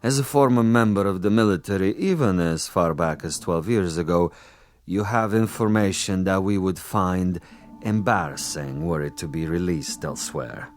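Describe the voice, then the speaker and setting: An actor playing a suave, menacing commissar in a radio drama, urbane and mocking with elaborately formal diction. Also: male voice, low-pitched, normal speed, lightly acted. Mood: mocking menace. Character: suave, menacing male commissar interrogating a former prisoner.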